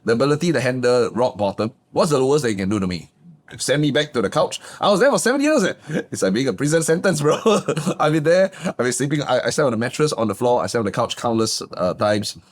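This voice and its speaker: A man, a guest business coach telling his backstory in a lively, emphatic way. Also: fast. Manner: animated, emphatic, swings pitch up for punchlines. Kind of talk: casual interview storytelling.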